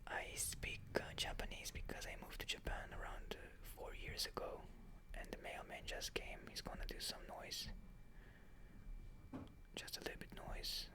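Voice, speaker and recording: A Hebrew speaker from Israel, whispering a meandering ASMR question-and-answer chat, full of soft fillers. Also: normal speed. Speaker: ASMR creator.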